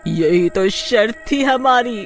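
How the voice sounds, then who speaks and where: A woman voicing a vengeful, bloodthirsty female ghost with shrieking, drawn-out delivery in a horror storybook style. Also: fairly high pitch, animated, over loud music, pitched above the performer's own voice. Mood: gloating, menacing. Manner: gloating, shrieking, drawn-out wailing. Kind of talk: animated horror storybook acting.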